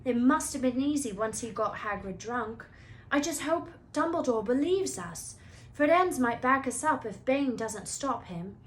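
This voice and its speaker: A woman's voice: sits high, animated, voice pushed up. A woman voicing an earnest, inquisitive schoolboy with an insistent manner, reading a children's book aloud. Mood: urgent. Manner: insistent, pressing, halting when nervous.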